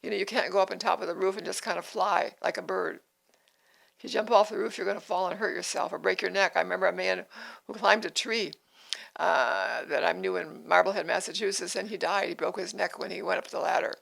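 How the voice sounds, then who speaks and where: A woman's voice: lowish voice, steady tone, brisk, gravelly, a bit bright, poor audio. A woman in her eighties, hosting a devotional podcast in an earnest, repetitive way with frequent restarts. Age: 80s. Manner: earnest, repeats phrases, restarts sentences. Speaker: podcast host.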